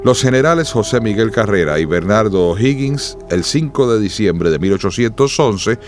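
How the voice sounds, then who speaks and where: A man giving solemn, measured, dramatic scripted narration for a radio drama. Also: lowish voice, lively intonation, faint music behind.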